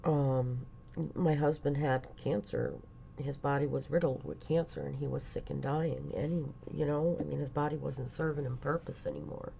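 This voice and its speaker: A middle-aged woman talking casually in a personal vlog, halting and rambling, stuffy from a lingering cold. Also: deep voice, fairly even intonation, vocal fry, mellow, lo-fi sound, slight background noise. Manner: halting, rambling, many pauses and fillers. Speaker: vlogger.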